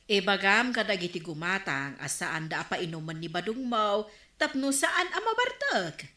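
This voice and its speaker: A woman playing a defensive village liquor seller in an Ilocano radio comedy drama, animated and protesting. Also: mid-range voice, moderate pace, roomy sound.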